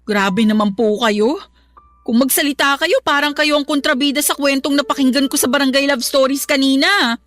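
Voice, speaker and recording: A woman's voice: sits high, normal speed, a bit bright, soft background music. An actress playing a young live-in partner with a Filipino accent who talks back politely and plaintively to her elder in a radio drama. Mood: aggrieved, defiant. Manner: polite but plaintive and defiant. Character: aggrieved young live-in daughter-in-law, politely talking back.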